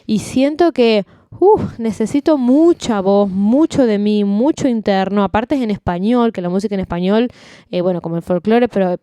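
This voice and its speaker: A woman, a singing teacher co-hosting a podcast, talking in an animated, emphatic, candid way. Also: medium pitch, moderate pace, smooth, no echo, studio quality. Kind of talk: casual chat.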